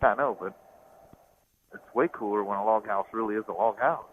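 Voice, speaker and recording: A man's voice: fairly low pitch, fairly quick. Southern guy vlogging from his bike, easygoing storyteller, thin phone-like sound with light wind hiss. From American South. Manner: rambling, storytelling. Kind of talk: casual chat while riding. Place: outdoors on a motorcycle. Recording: tinny intercom with faint road noise.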